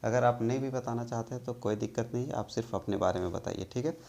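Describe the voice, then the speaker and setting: A man from India teaching spoken English in a slow, patient way, often checking that the learners follow. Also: medium pitch, creaky, a little mellow.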